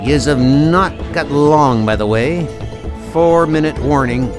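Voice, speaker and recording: A man's voice: a little high, takes it slowly. A man voicing a cocky mercenary supervillain in a comic-book audio drama, jaunty, colloquial and taunting. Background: loud music.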